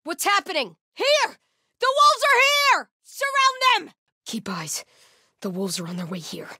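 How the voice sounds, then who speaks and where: High-voiced, stern cult soldier. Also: female voice, wildly swooping pitch, unhurried, no room sound, studio quality, exaggerated voice. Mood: urgent, shouting. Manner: solemn, stern, swinging from hushed muttering to shouted alarms. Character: grim devout female cult soldier, hostile and zealous. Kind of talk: video game combat voice lines.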